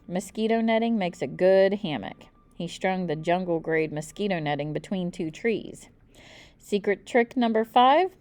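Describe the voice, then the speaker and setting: A woman reading a children's book aloud expressively, with deliberate, dramatic emphasis. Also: medium pitch, a little mellow. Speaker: storybook reader.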